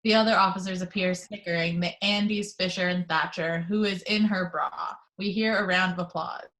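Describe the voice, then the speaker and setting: A woman's voice: lowish voice, steady tone, moderate pace, fairly bright tone. A woman reading scene headings and action lines as narrator of a script table read.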